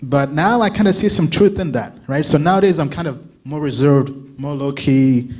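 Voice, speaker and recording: A young man giving a casual conference talk, often checking in with a quick "right?" and restarting his sentences. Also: fairly high pitch, darkish tone. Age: young adult. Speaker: crypto analyst giving a talk.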